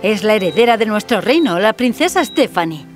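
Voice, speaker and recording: A woman voicing a stern, loyal older nurse in a cartoon fairy tale, brisk and scolding. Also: mid-range voice, big pitch swings, music in the background, lightly acted.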